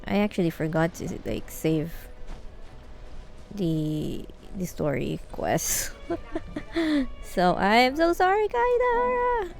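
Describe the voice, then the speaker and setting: A woman's voice: medium pitch, sing-song, slow pace, vocal fry, soft background music. A woman live-streaming a video game with casual, giggly reactions.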